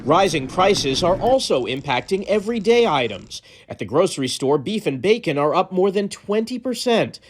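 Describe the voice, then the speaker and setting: A man voicing a network news report as correspondent, with a crisp, emphatic reporter cadence. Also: sits high, very animated, normal speed, few pauses. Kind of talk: scripted news report voice-over.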